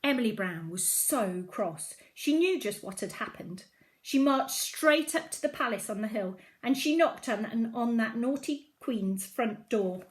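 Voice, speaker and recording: High, animated woman reading a storybook. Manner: dramatic, emphatic storytelling with drawn-out key phrases. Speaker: storybook reader at a primary school. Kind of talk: expressive children's story read-aloud.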